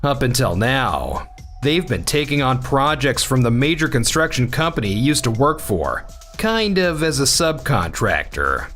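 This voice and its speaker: A man's voice: medium pitch, sing-song, a little slow, fairly bright tone, soft background music. A man voicing a worried, earnest construction manager who explains a problem in a manga dub. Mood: explaining. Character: worried middle-aged male construction manager.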